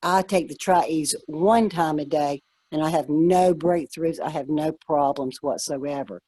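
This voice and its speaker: A woman telling a personal story in a casual group chat, quick and chatty. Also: deep voice, dark tone, breathy edge, no echo. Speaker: guest in a wellness group chat.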